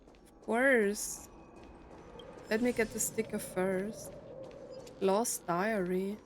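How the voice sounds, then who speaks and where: A woman's voice: medium pitch, steady tone, very slow, over music. A woman giving casual let's play commentary, rambling as she thinks aloud about a story game. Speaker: streamer playing a story game.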